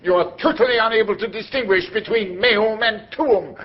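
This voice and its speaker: A man playing a pompous, irascible older English magistrate who blusters and shouts. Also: high voice, moderate pace, natural voice. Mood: stern. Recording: dull lo-fi recording.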